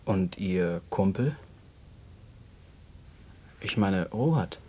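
An actor playing a decent, thoughtful prisoner, speaking politely and hesitantly in a naturalistic radio-play style. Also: male voice, deep voice, animated, drawn-out words, mellow, slightly rough, full character voice. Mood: hesitant, curious. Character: earnest, decent, thoughtful male prison cellmate, no crook.

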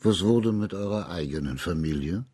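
A dignified high judge in a radio drama. His voice is on the low side and husky, recorded close and dry. He questions people formally and courteously, probing in measured phrases. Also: animated, mellow, no echo. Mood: grave, probing. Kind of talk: German radio drama acting.

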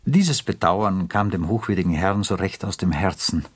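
A male narrator with a very low, husky voice tells a radio drama story. The recording is close and dry. His delivery is literary, deliberate and quietly ironic. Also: expressive, dry recording.

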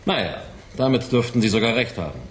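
An actor playing a stubborn, commanding military major in a radio drama, gruff and condescending. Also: male voice, mid-range voice, animated, brisk, lightly acted. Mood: overbearing. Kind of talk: 1980s German radio drama acting.

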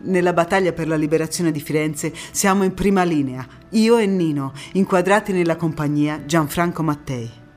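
An actress plays a young woman partisan telling her war in a low-pitched voice, grieving yet resolute. The delivery is a theatrical monologue, intense and deliberate, with dramatic pauses and repeated words. Faint music sits behind her. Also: darkish tone, lightly acted. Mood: resolute, reflective.

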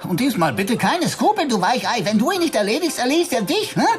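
A woman's voice: a little high, big pitch swings, brisk, soft background music. A woman playing a bossy, teasing computer sidekick in a radio play, pushy in manner. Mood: goading.

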